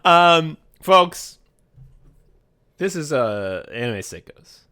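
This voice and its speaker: A man's voice: fairly high pitch, big pitch swings, very slow, bright, forceful tone, smooth. A young man co-hosting a casual podcast, rambling loosely, laughing often and swearing freely. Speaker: podcast co-host.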